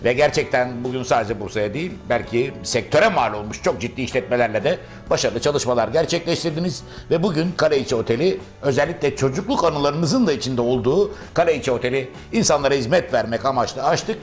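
Male interviewer, brisk formal delivery, long polished questions, light music bed. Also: medium pitch, lively intonation.

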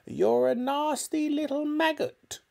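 A man's voice: expressive, slow, vocal fry, darkish tone, heavily acted. A man voicing a nasty, grumpy old grandmother who screeches and snaps spitefully. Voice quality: shrill screeching. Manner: snapping, spiteful, scolding.

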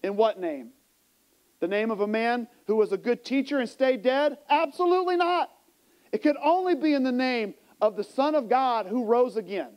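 A man in his forties preaching a sermon as a pastor, with an emphatic delivery that moves between hushed and raised. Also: very high-pitched, moderate pace, poor audio. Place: church sanctuary stage, indoors. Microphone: small mic clipped to the chest.

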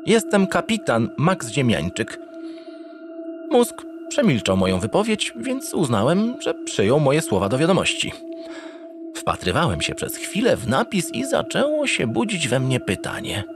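A man's voice: fairly high pitch, huge pitch swings, measured pace. A man narrating a comic first-person audio drama in a theatrical, mock-pompous manner. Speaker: narrator in character as a starship captain. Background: music.

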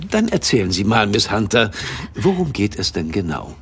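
A man playing an attentive gentleman detective in a German audio drama, courteous but impatient, with a husky voice and a probing manner. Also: on the low side, big pitch swings, exaggerated voice. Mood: brisk, curious. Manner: brisk, probing, interjecting.

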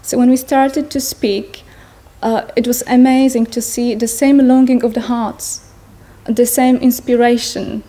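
A woman in her thirties with a Polish accent, giving an earnest personal talk to an audience in a large tent, pausing to search for words. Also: fairly high pitch, fairly even intonation, dark tone. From Poland. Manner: earnest, searching for words, non-native phrasing. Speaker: speaker at a Buddhist gathering. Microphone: stand mics in front of her.

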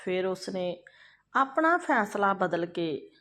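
A woman narrating a moral folk tale as a storyteller, phrasing it deliberately with frequent pauses. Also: medium pitch, very animated, fairly bright tone.

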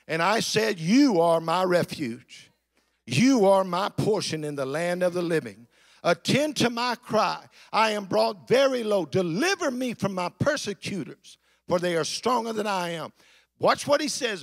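A man preaching an impassioned sermon to a congregation in an emphatic, declamatory way, repeating phrases for effect, with a gravelly voice. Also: high voice, a bit bright, no room sound.

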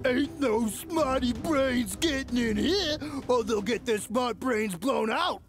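A woman's voice: on the high side, animated, music in the background. A woman voicing a dim, boastful school thug henchman in a cartoon game, with slangy talk. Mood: dumb menace. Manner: slow-witted, slangy.

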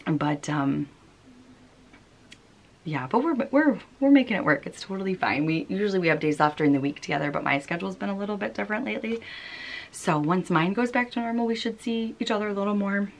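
A woman's voice: medium pitch, animated, fairly quick, vocal fry. An American woman in her thirties chatting casually and informally as a vlog host, recorded in a small room at home. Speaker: knitting podcast host.

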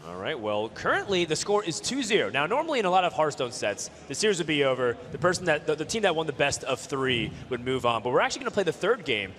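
A man's voice: fairly high pitch, big pitch swings, fast, hardly any pauses, a bit bright. A man giving live commentary as an esports commentator at a video game tournament.

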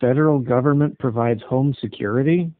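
A man's voice: medium pitch, a little fast, dry recording. A man in a phone conversation, blunt and skeptical, questioning the caller as he strings them along. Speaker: call recipient stringing scam callers along. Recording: tinny narrowband phone line.

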